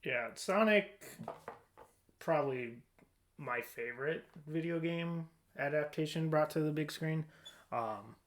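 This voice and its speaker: A man's voice: a little high, lively intonation, takes it slowly. Casual man hosting a podcast. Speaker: podcast host.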